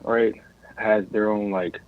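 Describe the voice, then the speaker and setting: A man's voice: lowish voice, flat delivery, slow. An American man calling in to a casual discussion, speaking hesitantly and searching for his words over a phone-like connection. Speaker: caller. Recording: tinny phone-like line.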